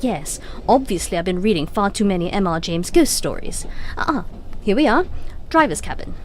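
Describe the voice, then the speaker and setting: A woman playing a brisk, witty, know-it-all time-travelling investigator in an audio drama, with a wry, breezy, playful manner. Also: mid-range voice, big pitch swings, a little hiss or hum, natural voice. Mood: brisk explaining.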